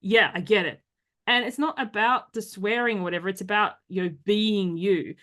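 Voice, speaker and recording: A chatty, animated woman hosts a casual podcast conversation. Her voice sits in the middle of the range, and she slips into quick impressions of other people's reactions. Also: medium pitch. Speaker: podcast host.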